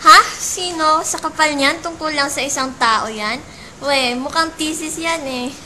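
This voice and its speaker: A woman's voice: sits high, a little slow, bright, forceful tone, a little hiss or hum, full character voice, low-quality recording. A woman playing a gossipy, skeptical teenage schoolgirl with a Filipino accent, nosy and teasing, in an amateur radio drama. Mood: curious, teasing. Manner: nosy, teasing, full of questions.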